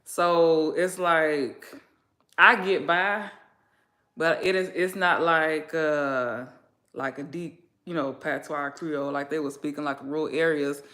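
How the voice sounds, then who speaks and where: Chatty bright-voiced woman vlogger. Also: sits low, fairly even intonation, slow. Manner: chatty, laid-back, lots of fillers and slang. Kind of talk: casual chat with a friend.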